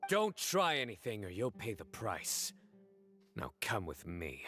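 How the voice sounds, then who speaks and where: An actor playing a stern young male investigator in a video game, speaking calmly and deliberately. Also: lowish voice, soft background music, heavily acted. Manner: calm, cold, deliberate deduction.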